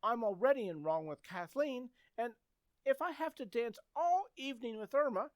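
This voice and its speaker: A man's voice: very high-pitched, expressive, normal speed, no room sound, clean studio sound. An actor playing an anxious, pleading teenage boy in an old-time radio comedy style. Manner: pleading, exclaiming. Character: anxious, whiny teenage boy, earnest schemer.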